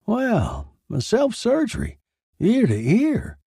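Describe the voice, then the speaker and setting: A man acts out a brash reporter from a noir crime drama. The voice sits high and swings widely, with a husky grain. He is flippant and full of wisecracks. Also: huge pitch swings, slow pace, mellow, no echo, studio quality, full character voice. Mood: cocky amusement. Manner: flippant, jokey.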